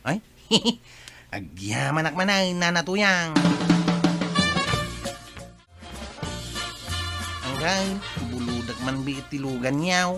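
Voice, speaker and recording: A man's voice: on the high side, expressive, measured pace, over loud music. A man with an Ilocano accent playing a good-humoured, wheedling village borrower in a comedy drama. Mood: friendly, grateful.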